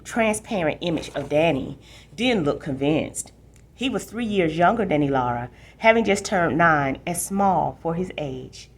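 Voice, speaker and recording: Female library host, voice a touch on the low side, casual book talk and read-aloud for young readers. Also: husky.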